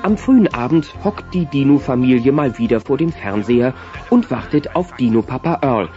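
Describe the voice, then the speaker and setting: A man's voice: mid-range voice, lively intonation, normal speed, music in the background. A man narrating a children's audio drama in scripted storytelling style. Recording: dull cassette transfer.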